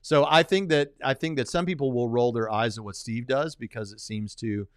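A man hosting a podcast in casual, animated chat, often repeating words as he speeds along. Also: mid-range voice, moderate pace, smooth, dry recording, studio quality. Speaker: podcast host.

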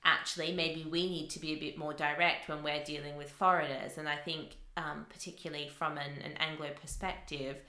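Woman, English teacher, low pitch, bright tone, thoughtful, hesitant restarts, echoey room. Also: flat delivery, brisk, few pauses. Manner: thoughtful, uses many fillers and restarts. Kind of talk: casual conversation.

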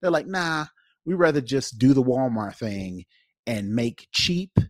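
A man co-hosting a podcast, giving his opinions casually in an emphatic, halting way. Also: medium pitch, expressive, no room sound, clean studio sound. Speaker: podcast co-host.

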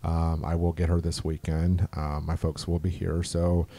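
A middle-aged man with a deep voice, talking earnestly and slowly about raising his daughter in a casual chat. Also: little pitch movement, warm tone. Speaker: guest, father.

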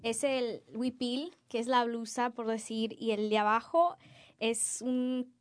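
A young woman from Guatemala, a guest in an interview, speaking softly and hesitantly with many fillers. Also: a little high, little pitch movement, slow pace, clean tone, dry recording. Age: young adult. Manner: soft-spoken, hesitant with fillers. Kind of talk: casual interview answers.

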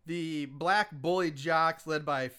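A man's voice: on the high side, takes it slowly, pushed and bright, clean tone. A man co-hosting a podcast, casually recapping a movie scene by scene, rambling, laughing and swearing freely. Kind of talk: casual chat.

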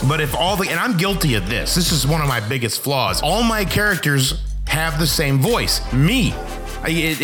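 Animated, wry man giving informal advice. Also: on the high side. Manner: self-deprecating, wry, acts out imagined reactions. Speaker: screenwriter and filmmaker giving advice. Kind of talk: casual instructional talk to camera.

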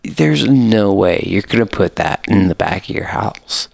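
A young man as a podcast guest, talking in a slow, halting way with lots of fillers. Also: lowish voice, animated, creaky, darkish tone, no echo. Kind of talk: casual chat.

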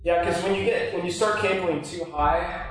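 Workshop leader guy, bit higher voice, lots of ums, echoey room w/ music playing. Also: moderate pace, a bit bright. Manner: hesitant, many fillers. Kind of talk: workshop discussion. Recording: echoey room, mic far off, music behind.

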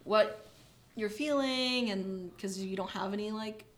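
A woman's voice: mid-range voice, a little slow. Young woman, casual interview guest, chatty, filler-heavy, laughs easily. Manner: chatty, many fillers, laughs when uncomfortable.

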